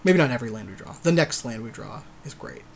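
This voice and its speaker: A man's voice: medium pitch, lively intonation, quick pace, gravelly, slight background noise. A man giving casual commentary on a card game as a podcast co-host, chatty and thinking aloud with a partner.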